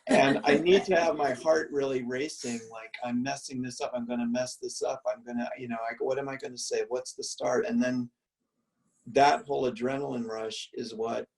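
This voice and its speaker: A male professor talks through his thoughts in a mellow, musing way, circling back and restarting as he goes. His mid-pitched voice is gravelly with fry. He sits a little back from the mic on a video call from home. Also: steady tone, normal speed, far from the mic, low-quality recording. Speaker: art history professor. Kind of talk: reflective conversational answers. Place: room at home.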